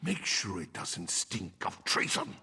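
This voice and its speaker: An actor playing a proud, suspicious older High King in a fantasy video game, speaking with imperious contempt in a gravelly, husky voice. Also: very animated, takes it slowly, sharp tone, heavily acted. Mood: angry. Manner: scornful, imperious. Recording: long reverb of a hall.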